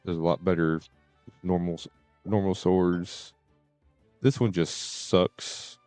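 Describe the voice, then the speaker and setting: Low-voiced man, casual game streamer. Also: steady tone, a little mellow, dry recording, soft background music. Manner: halting, repeats and stutters on words.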